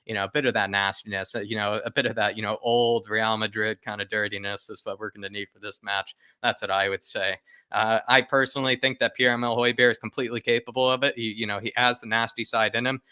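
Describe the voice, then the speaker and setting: A man with a fairly low, forceful voice hosts a football fan livestream. He talks casually and directly to viewers and often repeats phrases for emphasis. The sound is dry and close. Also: flat delivery, fast talker, clear, resonant tone, no room sound. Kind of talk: casual live fan chat, reading viewer comments aloud.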